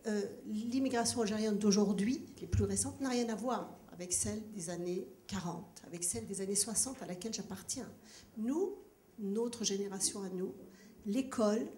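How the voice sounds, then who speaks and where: A woman's voice: mid-range voice, mellow. Emphatic middle-aged woman in an echoey hall. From born in Kabylie, Algeria, grew up in France from age nine. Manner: earnest, emphatic, repeats phrases for emphasis. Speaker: audience member questioning a speaker at a public debate. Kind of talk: spontaneous formal intervention at a public debate. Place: large ornate hall with seated audience, indoors. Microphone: handheld microphone. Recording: echoey hall with clear background noise.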